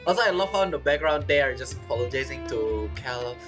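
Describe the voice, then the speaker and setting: Playful male streamer with animated pitch. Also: fast talker, over music. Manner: playful, giggly, reactive. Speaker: game streamer. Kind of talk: casual live gameplay commentary. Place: indoors at a streaming setup.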